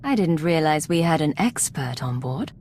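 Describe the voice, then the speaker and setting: A woman playing a cool, probing secret agent in a video game, composed, dry and measured. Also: sits low, very animated, darkish tone, slight background noise. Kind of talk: cinematic video game dialogue.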